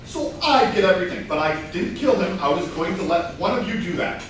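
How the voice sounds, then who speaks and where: A man's voice: high-pitched, lively intonation, fairly quick. A man playing an aggrieved, scheming party crasher in a comic whodunit, hesitant yet indignant, at a staged reading. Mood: aggrieved, confessing. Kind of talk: staged comedy play reading. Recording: echoey hall, far mic, faint noise.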